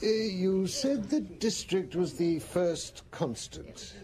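An actor playing a learned, wry psychiatrist in a radio drama, speaking in a considered, professorial way. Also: male voice, sits high, expressive, takes it slowly, dark tone. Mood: wry, curious.

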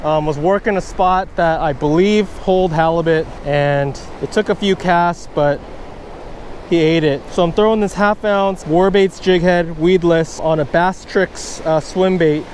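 A man with a fairly high voice talks casually through his fishing technique, and he gets excited when a fish bites. He is outdoors on an ocean beach, recorded on a wearable camera, with faint surf noise behind him. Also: unhurried. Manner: excited, bursts of stoked exclamations. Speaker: fishing vlogger. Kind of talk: casual how-to commentary while fishing. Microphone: wearable action camera, first-person view.

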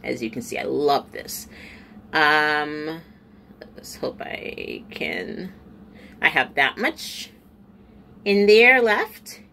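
She is a woman in her forties, talking to the camera as a beauty vlogger, and her voice rises and falls in a lively way. She sounds a little stuffy and nasal. Now and then she drops into quiet low asides, and faint room ambience sits behind her. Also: drawn-out words, gravelly, pauses often, a little hiss or hum. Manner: chatty, animated, drifting into low asides. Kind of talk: casual chat to camera. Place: small room at home, indoors. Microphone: camera in front at close range.

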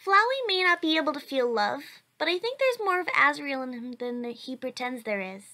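A woman's voice: high-pitched, sing-song, a little fast, full character voice. A woman voicing a cheerful, earnest child who answers questions for a blog in a comic dub. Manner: bright, chatty, earnest.

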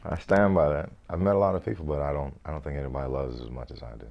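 A man in his thirties with a deep voice, a comedian chatting in an interview in a small studio, easygoing and laughing often, restarting words as he thinks. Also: fairly even intonation, very fast, gravelly, lo-fi sound. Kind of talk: relaxed interview chat. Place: small indoor studio set.

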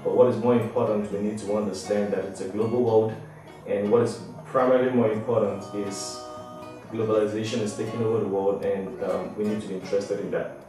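A man's voice: lowish voice, flat delivery, brisk, music in the background. A young man from Nigeria giving an earnest prepared statement to camera indoors. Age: young adult. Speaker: former exchange student. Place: indoor room. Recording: echoey room, mic at a distance.

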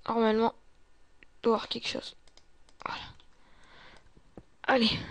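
A woman's voice: a little high, completely flat delivery, a little fast, dry recording. A woman doing in-character gameplay commentary, muttering to herself, often dropping into whispers and breaking into exclamations. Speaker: gamer playing a role-play survival series.

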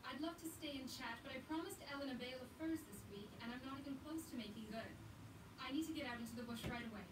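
A woman playing a brisk frontier huntress. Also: high-pitched, close to an everyday voice. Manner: brisk, polite, old-fashioned phrasing. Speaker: game character. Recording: game audio from TV speakers, roomy, distant, noisy.

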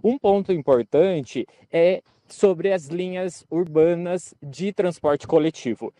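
A young man works as a field reporter. His voice sits a bit high yet stays mellow, and it is captured dry on a handheld microphone. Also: slow, no echo. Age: young adult. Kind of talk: live news report. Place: outdoors in a park-like event ground.

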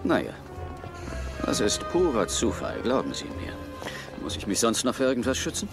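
An actor playing a suave, unflappable secret agent in a dubbed film, with a smooth, wry, understated manner. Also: male voice, mid-range voice, wildly swooping pitch, normal speed. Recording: film soundtrack with loud music, vehicle noise.

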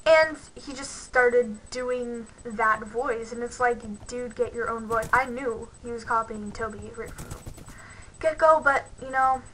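A woman's voice: on the high side, unhurried, a bit bright, a little hiss or hum, poor audio. Chatty young woman losing her voice. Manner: chatty, rambling, many "like"s. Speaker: gaming channel host. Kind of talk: casual let's-play commentary. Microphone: headset mic.

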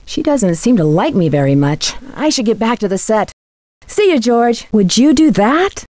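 A woman playing a curious, polite young female detective in a video game, with earnest, clearly enunciated scripted delivery. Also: fairly high pitch, very animated, moderate pace, darkish tone, no room sound. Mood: curious, businesslike. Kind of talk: scripted game voice lines and letter narration.

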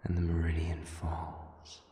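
A man voicing a cold, resolute demon in an audio drama, with a breathy whisper and a solemn, deliberate delivery. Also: low voice, monotone, warm tone. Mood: cold resolve. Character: cold, resolute male demon, menacing and unhurried. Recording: echoing, heavy reverb with noise.

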